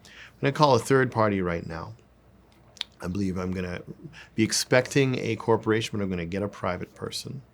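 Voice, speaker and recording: A man's voice: lowish voice, a little fast, creaky. A man giving an informal sales coaching lesson, repeating words and phrases for emphasis. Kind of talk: informal instructional talk with demonstrations.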